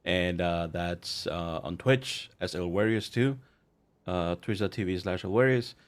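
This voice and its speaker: A man's voice: sits low, steady tone, a little slow. A man whose first language is Spanish, a guest writer talking casually and hesitantly with many restarts. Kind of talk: casual interview talk.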